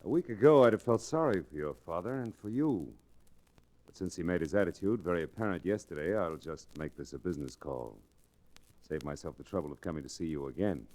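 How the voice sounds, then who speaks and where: An actor playing a cold, deliberate, crooked storekeeper villain in an old-time radio western. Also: male voice, sits low, lively intonation, a little mellow. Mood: coldly businesslike. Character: menacing, smooth-talking crooked male storekeeper villain. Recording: restored vintage radio drama recording.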